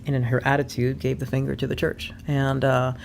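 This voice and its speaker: Chatty woman guest with a gravelly edge. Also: very deep voice, little pitch movement, fairly quick, a little mellow, slight background noise. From born in Vermont, raised abroad. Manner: chatty, frequent ums, laughs easily. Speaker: guest, personal development coach. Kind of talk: casual conversation.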